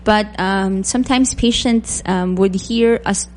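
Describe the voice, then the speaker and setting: A woman, a doctor answering questions in a radio interview in a measured, thoughtful way with frequent pauses. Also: mid-range voice, a little hiss or hum. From Philippines. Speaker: guest physician.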